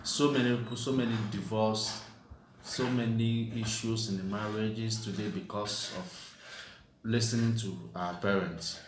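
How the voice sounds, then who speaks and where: A young man from Nigeria chatting with his wife on their channel. He talks calmly and quietly and often checks in with a question. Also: lowish voice, measured pace. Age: young adult. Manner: calm, soft-spoken, with tag questions. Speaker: vlogger co-host. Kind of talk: casual couple chat. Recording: roomy echo, faint hiss.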